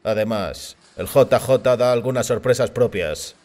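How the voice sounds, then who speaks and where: A man's voice: mid-range voice, a little slow, darkish tone, a little hiss or hum, lightly acted. A man voicing a self-assured, proud middle-aged driver in an audio story, speaking dismissively. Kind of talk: audio fiction dialogue.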